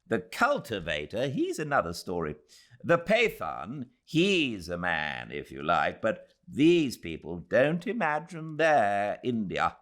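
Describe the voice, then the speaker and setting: A man's voice: on the low side, wildly swooping pitch, slow pace, fairly bright tone, pitched above the performer's own voice, exaggerated voice. A male narrator voicing a pompous, condescending young colonial official with a British accent, declaiming his opinions in a storybook reading. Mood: haughty, dismissive.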